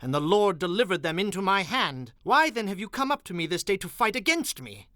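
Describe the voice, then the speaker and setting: A man's voice: high-pitched, sing-song, fairly quick, bright, forceful tone, dry recording, clean studio sound, full character voice. A man playing a commanding Israelite war leader and anguished father in a dramatized audio Bible, speaking in formal, emphatic declamation. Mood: defiant.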